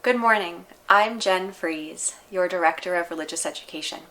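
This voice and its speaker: Female religious educator with a bright, crisp-edged voice on the lower side, keenly presenting a picture book for children. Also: moderate pace, rough recording. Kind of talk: introducing and reading a children's book to a congregation.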